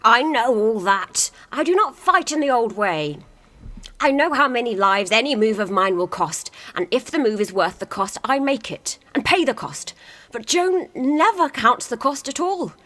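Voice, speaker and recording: Woman as a seasoned army commander, practical and blunt, quite a high voice, radio play. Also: expressive, moderate pace, a bit bright. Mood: sober warning. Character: pragmatic, battle-wise military commander, blunt but loyal.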